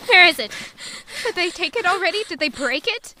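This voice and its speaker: High-pitched young woman, bright tense tone, anxious, guarded, audio fantasy drama. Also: animated, brisk, full character voice. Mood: panicked, fretting. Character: anxious young traveling woman hiding a dangerous secret.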